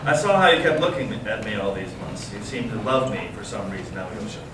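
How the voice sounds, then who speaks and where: A man acts out a bitter, searching young intellectual in a readers theater drama. He speaks deliberately and earnestly, with dramatic pauses. The sound is very echoey, picked up from a distance with clear background noise. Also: fairly low pitch, big pitch swings. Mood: warm, nostalgic. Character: bitter, intense young intellectual Russian brother, searching and provocative. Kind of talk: staged readers theater reading. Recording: echoey room, distant mic, noisy amateur recording.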